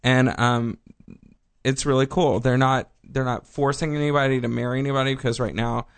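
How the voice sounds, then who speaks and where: Gravelly man rambling through news. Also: mid-range voice, level tone, fairly quick. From Texas. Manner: rambling, many ums and restarts. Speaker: podcast co-host. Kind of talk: casual news chat.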